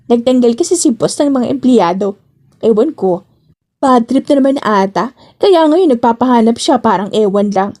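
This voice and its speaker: A woman's voice: a little high, expressive, moderate pace, dark tone. A woman with a Filipino accent voicing a breezy young office worker, a chatty, slangy, teasing best friend in an audio drama.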